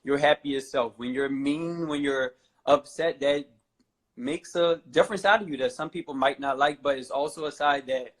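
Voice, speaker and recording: A man's voice: fairly high pitch, normal speed. A man in his twenties from New Orleans, a guest on a talk show, speaking casually and rambling with lots of "you know" and "I feel like". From New Orleans, USA. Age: 20s. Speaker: guest, fashion model.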